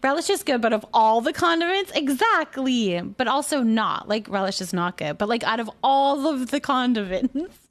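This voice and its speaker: Woman, high-pitched voice, livestream host, casual chat, playful, teasing. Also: lively intonation, normal speed. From Canada. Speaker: livestream host chatting with viewers. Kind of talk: casual chat, reading and answering viewer comments.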